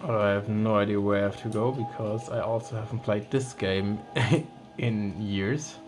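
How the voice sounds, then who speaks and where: A man's voice: fairly low pitch, normal speed. Man commentating gameplay. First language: German. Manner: relaxed, with frequent restarts. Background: game music.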